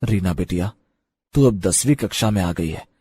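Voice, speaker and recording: A man playing a schoolgirl's earnest, encouraging father in a moral story, with measured, exhorting delivery. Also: deep voice, animated, warm tone, breathy edge. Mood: earnest encouragement. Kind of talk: acted dialogue in a moral story. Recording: long hollow reverb.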